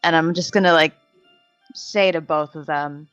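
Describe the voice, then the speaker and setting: A woman's voice: low-pitched, steady tone, moderate pace, a bit bright, smooth, no room sound, soft background music. A woman playing a tabletop game, speaking hesitantly and thoughtfully. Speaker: player. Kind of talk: casual tabletop roleplay. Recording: dry, dull lo-fi audio.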